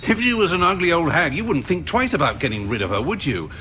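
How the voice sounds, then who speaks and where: An actor playing a blunt, cynical scientist in a radio drama, with a sardonic, argumentative way of talking. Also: male voice, on the high side, big pitch swings, brisk, pushed and bright, slight background noise. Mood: scornful, heated. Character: cynical, blunt male scientist, world-weary realist.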